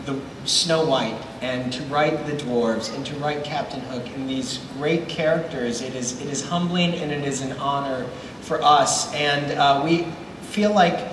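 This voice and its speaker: A man's voice: mid-range voice, measured pace. A man speaking as a show creator on a live panel, playfully coy and enthusiastic. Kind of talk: live panel interview, teasing upcoming plots. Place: large indoor convention hall stage. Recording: echoey hall, distant, noisy background.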